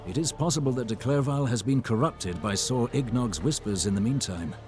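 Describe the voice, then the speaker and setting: A man playing a grave, analytical advisor in a fantasy game, measured and serious. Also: medium pitch, expressive, music in the background, close to an everyday voice. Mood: grave concern.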